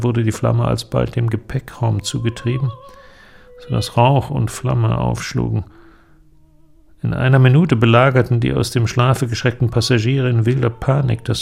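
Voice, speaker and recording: An actor playing a pensive, sombre middle-aged inventor in a literary audio drama, speaking in a measured, deliberate way with a creaky voice. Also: male voice, medium pitch, little pitch movement, darkish tone, faint music behind. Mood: grave reading.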